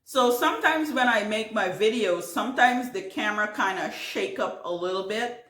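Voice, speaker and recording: A woman's voice: mid-range voice, lively intonation, normal speed, fairly bright tone, roomy sound. A middle-aged woman from Guyana with a Caribbean accent, hosting a casual question-and-answer video for her gardening channel and rambling a bit with fillers and restarts. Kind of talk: casual Q&A vlog. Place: home.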